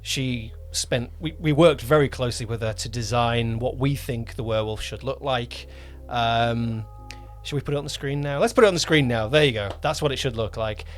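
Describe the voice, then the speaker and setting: Guy doing casual podcast chat, middle pitch voice, repeats stuff for emphasis, bit of music behind. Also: moderate pace. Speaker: podcast host, audio drama producer and director.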